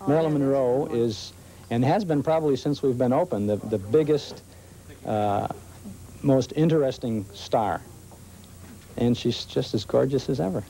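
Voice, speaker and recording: A man's voice: mid-range voice, unhurried, creaky, dark tone, poor audio. An American man, a shop owner, chatting casually in an interview inside his store. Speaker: shop owner and old-time radio host.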